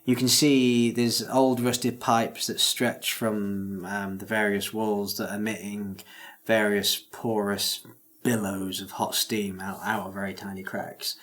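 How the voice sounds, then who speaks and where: A man narrating a tabletop game as game master, describing scenes in a halting, searching way. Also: on the low side, level tone, fairly bright tone.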